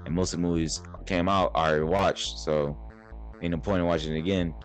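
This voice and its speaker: A man's voice: low voice, fairly even intonation, a little fast, faint music behind. A young man hosting a podcast, chatting casually and rambling, often restarting his sentences. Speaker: podcast host. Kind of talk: casual chat.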